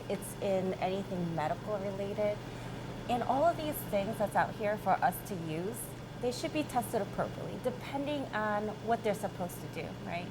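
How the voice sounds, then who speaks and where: Female, thirties, American accent, company boss in conversational interview, average pitch, noticeable background noise. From born in South Korea, grew up in Michigan, USA. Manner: informal, checks in with "right?" and "you know". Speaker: company chief executive being interviewed.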